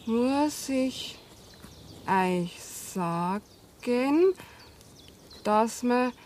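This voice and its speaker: An actress playing a naive young country woman with a Bavarian accent in a radio drama, speaking haltingly and simply. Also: fairly high pitch, darkish tone, slight background noise. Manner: halting, spelling out words while writing. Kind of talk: 1970s German radio drama acting.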